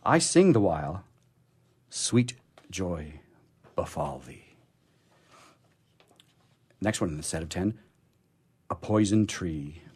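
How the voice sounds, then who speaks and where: A man hosting a poetry program, speaking in a halting, expressive way as he talks casually and reads verse. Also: deep voice, vocal fry, husky. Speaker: radio show host reading poetry. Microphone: studio microphone he drifts away from.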